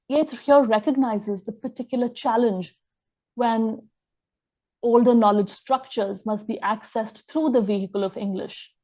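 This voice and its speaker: A woman giving a scholarly lecture, reading from her text in a measured way and quoting sources aloud. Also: medium pitch, mellow, muffled recording. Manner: measured, reads quotations aloud. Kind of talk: reading an academic talk.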